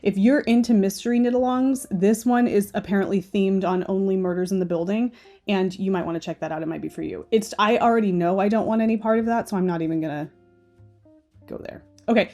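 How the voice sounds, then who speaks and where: A woman's voice: medium pitch, brisk, warm tone, soft background music. A woman hosting a knitting channel, chatting casually and opinionated while browsing on screen, a little stuffy and nasal. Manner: chatty and opinionated, often asking "okay?". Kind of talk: casual screen-share commentary.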